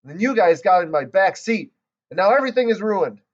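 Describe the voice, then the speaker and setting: A man's voice: sits high, lively intonation, brisk, highs cut off, pitched above the performer's own voice. Man doing a whiny spoiled little girl. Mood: upset. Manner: whiny, indignant.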